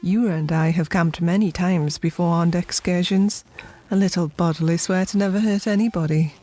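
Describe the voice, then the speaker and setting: A woman playing a calm alien space traveler in an audio drama, speaking in a dry, understated way. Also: fairly low pitch, normal speed, close to an everyday voice. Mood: dry, unruffled.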